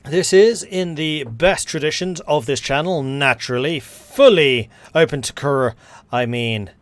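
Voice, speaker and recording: A man's voice: fairly high pitch, a little slow, no echo. A Scottish man giving casual let's-play commentary on a strategy game, chatty and wry, with joking asides.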